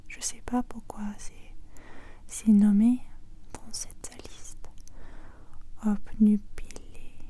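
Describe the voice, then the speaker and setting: Slow, soft whispering woman. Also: medium pitch, slightly rough. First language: not French. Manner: whispering, slowly repeating words, hesitant and unsure. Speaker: ASMR creator. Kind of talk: whispered ASMR pronunciation practice.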